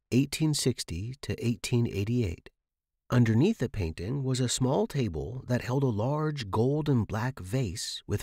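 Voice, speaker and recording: A man's voice: mid-range voice, darkish tone, no echo, studio quality. A man reading scripted first-person fiction as the narrator.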